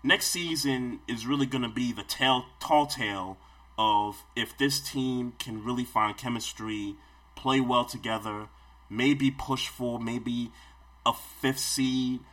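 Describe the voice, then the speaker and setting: A man co-hosting a sports podcast, talking casually with halting repeats of his words. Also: medium pitch, fairly bright tone. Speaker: podcast co-host.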